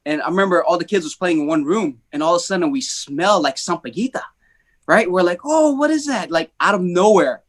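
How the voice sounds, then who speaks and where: A man raised in the Philippines tells stories on a podcast, animated and rambling, often restarting his sentences. His voice sits a little high for a man. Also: a little fast. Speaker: guest. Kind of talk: casual storytelling.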